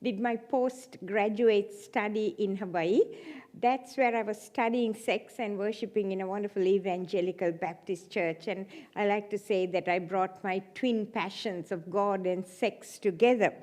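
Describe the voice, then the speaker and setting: A woman's voice: medium pitch, steady tone, a little slow. Older woman from Sri Lanka, chatty. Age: 70s. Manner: warm, chatty, self-deprecating humour. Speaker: guest speaker. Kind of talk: church talk. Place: church hall.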